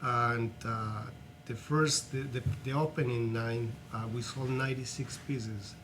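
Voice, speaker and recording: A man from Colombia with a Colombian accent, telling his story earnestly in halting non-native English as an interview guest. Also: mid-range voice, steady tone, noisy background. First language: Spanish.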